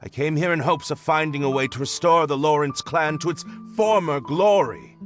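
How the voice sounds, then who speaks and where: An actor playing a disillusioned young nobleman, talking in a hesitant, halting, reflective way. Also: on the high side, a bit bright, natural voice. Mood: rueful. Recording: game cutscene with music behind.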